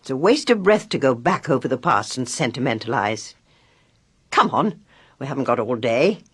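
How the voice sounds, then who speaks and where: A woman's voice: extremely low pitch, animated, moderate pace, husky, exaggerated voice. A woman playing a blunt, bossy, knowing village nurse in a British radio drama. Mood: brisk, bossy. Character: brisk, no-nonsense, knowing village nurse, female.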